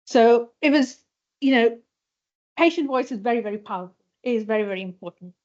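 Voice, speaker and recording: A woman from a patient charity giving a conference talk in a hospital lecture hall. She pauses often, with many fillers and restarts. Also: fairly high pitch, animated, darkish tone. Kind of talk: conference presentation. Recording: dull lo-fi sound.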